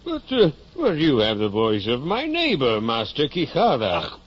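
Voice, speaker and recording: An actor playing a simple, concerned, helpful neighbor with a hesitant manner in a 1950s radio drama. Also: male voice, medium pitch, wildly swooping pitch. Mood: puzzled, startled. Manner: hesitant, halting. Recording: muffled, noisy old radio recording.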